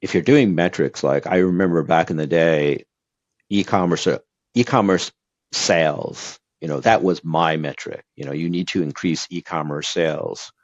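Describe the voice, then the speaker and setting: A man's voice: low-pitched, normal speed, no room sound, dull audio. A man from Brazil whose first language is Portuguese, giving earnest advice as an interview guest with lots of fillers and restarts. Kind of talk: casual interview talk.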